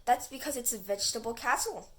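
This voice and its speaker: A woman's voice: a little high, quick pace, fairly bright tone, close to an everyday voice. A woman voicing an old, wrinkled talking tomato in a children's storybook, a firm and officious gatekeeper. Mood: explaining.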